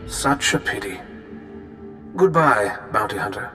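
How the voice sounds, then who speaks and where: A man's voice: fairly low pitch, lively intonation, a little slow, music in the background. A man playing a suave noble assassin in a sci-fi game, dryly polite and menacing. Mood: coolly menacing. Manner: dry, genteel, understated threats. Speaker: video game voice actor. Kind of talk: dramatic game cutscene dialogue.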